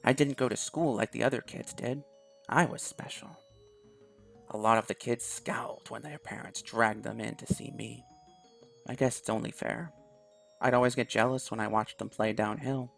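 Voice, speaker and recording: Guy with a gravelly voice softly reading out a visual novel, faint music behind. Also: mid-range voice, fairly even intonation, moderate pace. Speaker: video game YouTuber. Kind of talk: reading a visual novel aloud in first person.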